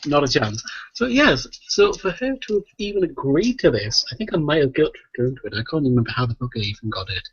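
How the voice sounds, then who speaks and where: A man's voice: on the high side, fast, slightly rough. A man hosting a podcast, telling rambling, sweary anecdotes in casual chat and often checking in with "right?". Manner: sweary, animated storytelling with frequent "right?" tags. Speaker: podcast host. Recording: muffled, echoey voice-chat recording.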